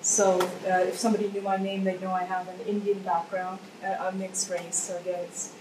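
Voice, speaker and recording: A woman in her forties speaks thoughtfully and hesitantly, pausing on frequent ums. Her voice is mid-pitched. She is caught from a distance in an echoing room over noticeable background noise. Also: level tone. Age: 40s. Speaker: workshop participant. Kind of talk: casual personal sharing. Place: conference workshop room. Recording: echoey room, distant mic, noisy.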